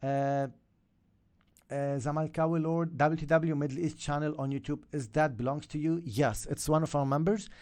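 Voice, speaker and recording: Man with an Arabic first language, fairly high pitch, energetic trading coach on a live stream. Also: normal speed. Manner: energetic, repeats "okay?" and "yeah?" to viewers.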